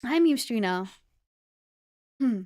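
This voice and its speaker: A woman chatting casually on a game livestream in a playful, energetic way. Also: on the high side, slow pace, no room sound. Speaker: streamer and VTuber. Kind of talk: casual livestream chat while playing a game.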